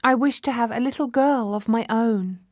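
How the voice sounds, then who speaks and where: A woman's voice: a little high, moderate pace, darkish tone, no room sound, studio quality, pitched above the performer's own voice, natural voice. Woman as a hopeful, earnest storybook lady. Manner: earnest, wishful. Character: hopeful childless lady longing for a daughter.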